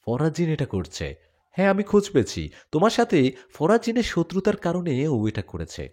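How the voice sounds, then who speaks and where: A man's voice: a little high, huge pitch swings, normal speed, a little mellow, voice pushed up. A man voicing a loyal male jinn friend who gives urgent advice in a spoken story.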